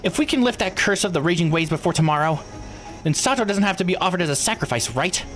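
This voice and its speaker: A man's voice: high-pitched, lively intonation, quick pace, fairly bright tone, soft background music, pitched above the performer's own voice. A man voicing an earnest, worried young islander in a visual novel, polite and questioning. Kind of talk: visual novel read-aloud voice acting.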